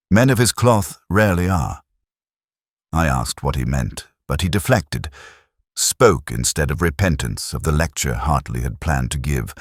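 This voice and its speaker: A middle-aged man narrating a first-person detective story in a measured, literary, brooding manner. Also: very deep voice, no echo, clean studio sound.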